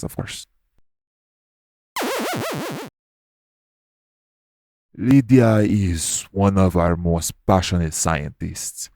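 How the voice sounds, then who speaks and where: Man voicing a tailed creature doctor, very low pitch, dark mellow tone, measured, stern, patient, audio drama. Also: vocal fry, slight background noise. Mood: patient, courteous. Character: formal, patient male monster doctor with a lashing tail. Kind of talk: audio roleplay acting.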